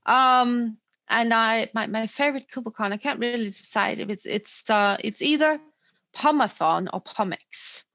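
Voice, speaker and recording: Woman, upbeat and loud, halting, muffled dry call audio. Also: fairly high pitch, no echo. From Denmark. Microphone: video call.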